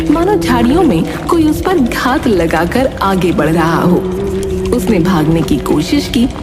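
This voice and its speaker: Female storyteller, scripted dramatic narration, lively intonation, prominent background music. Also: medium pitch.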